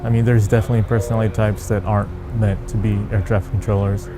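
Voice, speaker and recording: A man's voice: fairly low pitch, flat delivery, fast talker. A man who works as an air traffic controller, talking informally in an interview. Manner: informal, peppered with "like". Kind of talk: casual documentary interview. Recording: music bed behind voice.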